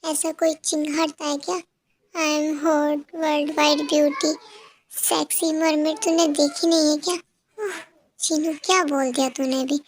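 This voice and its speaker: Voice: sits high, level tone, takes it slowly, a little hiss or hum. A voice actor playing a vain, sassy female mermaid who talks in brash street slang.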